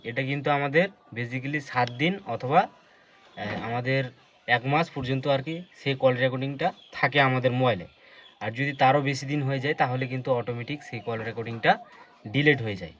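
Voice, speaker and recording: A man's voice: mid-range voice, a bit bright. Muffled lo-fi recording, faint background noise, male tutorial presenter, step-by-step explaining, repetitive phrasing, direct address to viewers. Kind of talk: unscripted step-by-step phone tutorial narration.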